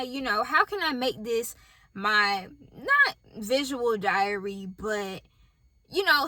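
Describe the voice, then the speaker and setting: A woman's voice: a little high, sharp tone, no echo. A woman with a Southern US accent talks candidly and casually to camera from her parked car, speaking straight to her viewers and filming on a phone set on a tripod. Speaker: vlogger.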